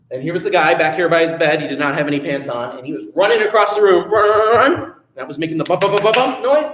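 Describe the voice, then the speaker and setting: Animated man telling a children's story. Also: a little high, echoey room, a little hiss or hum, low-quality recording. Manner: animated, with sound effects and asides to the children. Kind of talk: children's storytime read-aloud.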